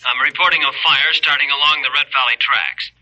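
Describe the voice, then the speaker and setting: An actor playing an alert fire lookout ranger reporting through a telephone effect in an old-time radio western. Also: male voice, mid-range voice, fairly even intonation, a little fast, bright, forceful tone, low-quality recording. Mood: urgent, factual. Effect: telephone filter.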